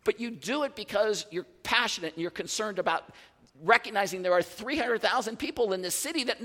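High-voiced pastor in his fifties preaching. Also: a little fast, in a large hall. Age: 50s. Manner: animated, conversational preaching with restarts and asides. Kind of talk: sermon. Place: large church hall.

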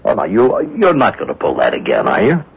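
An actor playing a gruff police inspector in a radio drama, blunt and exasperated, with a gravelly, husky voice. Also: male voice, sits low, wildly swooping pitch, brisk, exaggerated voice. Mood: exasperated, insistent. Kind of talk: old-time radio drama acting. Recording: dull lo-fi radio recording.

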